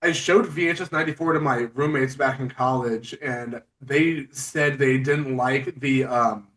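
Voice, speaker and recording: A man's voice: mid-range voice, a little slow, a bit bright. Young man hosting, slightly distant from mic. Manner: informal, chatty. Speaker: podcast host. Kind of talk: casual interview chat about horror films.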